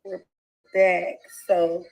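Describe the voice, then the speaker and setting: A woman's voice: on the low side, completely flat delivery, drawn-out words, darkish tone, low-quality recording. Stuffy-nosed woman in a casual vlog clip. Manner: casual, talks to a small child. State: stuffy nose.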